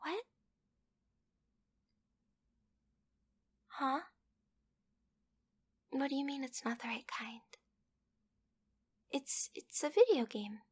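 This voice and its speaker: A woman voicing a bratty, clingy, possessive young girlfriend in an ASMR roleplay, pouty and demanding, with a breathy, often whispery delivery. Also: high voice, very animated, a little mellow, heavily acted. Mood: confused, embarrassed. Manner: pouty, demanding, halting, with sighs. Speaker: voice actress in a roleplay.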